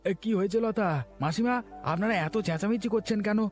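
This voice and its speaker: High-pitched, confronting village man played by a man. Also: fairly quick, soft background music, natural voice. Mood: accusing. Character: stern, accusing village husband guarding his garden. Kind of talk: cartoon voice acting.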